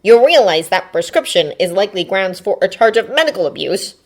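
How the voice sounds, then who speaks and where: A woman's voice: mid-range voice, big pitch swings, a little fast. A woman voicing a formal, caring teenage boy in an audiobook, with precise, proper wording. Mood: indignant. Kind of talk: audiobook character voice.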